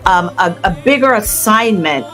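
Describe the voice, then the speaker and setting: A woman's voice: mid-range voice, animated, normal speed, a bit bright, soft background music. A woman gospel singer speaking as an interview guest in an earnest, testifying, conversational way, with an easy laugh.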